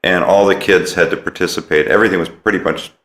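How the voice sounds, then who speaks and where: A man's voice: low-pitched, fairly even intonation, fast, fairly bright tone, echoey room. A man hosting a casual podcast interview, thoughtful and reflective, restating things and prompting his guest. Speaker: podcast host.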